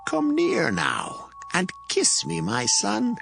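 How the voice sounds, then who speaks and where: A man's voice: high voice, wildly swooping pitch, slow pace, creaky, over music, exaggerated voice. A man playing a frail old blind father in a dramatized Bible drama, solemn and tender in archaic scripture dialogue. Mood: searching, tender.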